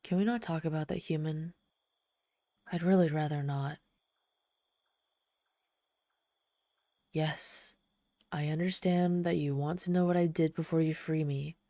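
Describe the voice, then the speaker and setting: Low, mellow, sardonic demon woman, acted out by a woman. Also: no echo. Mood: evasive. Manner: exasperated, sardonic, pleading, halting when vulnerable. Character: trapped tsundere female demon, proud, sardonic, desperately lonely. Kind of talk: ASMR roleplay monologue, dramatic storytelling.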